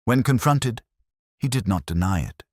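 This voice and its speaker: A man reading a scripted first-person detective story in a measured, solemn, literary manner. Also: mid-range voice, expressive, dry recording, studio quality. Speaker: narrator.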